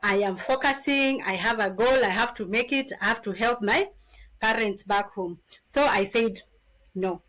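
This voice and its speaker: A woman in her thirties from Kenya with a Kenyan accent, a podcast guest telling her story in an animated, casual way. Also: on the high side, moderate pace. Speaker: podcast guest, business founder.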